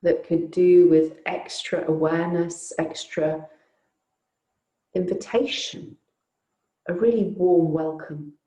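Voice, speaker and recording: A woman teaching yoga nidra, guiding a relaxation in a soothing, unhurried, gently inviting way with long pauses. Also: fairly low pitch, fairly even intonation, reverberant, low-quality recording. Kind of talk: guided relaxation meditation.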